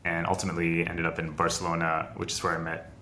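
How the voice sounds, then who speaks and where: A man's voice: low voice, monotone, fast, bright, forceful tone, a little hiss or hum. A middle-aged man from France, a founder on a video call, explaining a long process step by step with restarts. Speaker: biotech founder and guest. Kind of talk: casual video interview.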